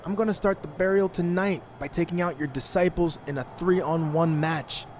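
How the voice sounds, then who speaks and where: An actor playing a defiant, cocky wrestling hero bent on revenge, giving a taunting, confident promo in a video game cutscene. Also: male voice, a little high, normal speed, darkish tone, slight background noise, natural voice.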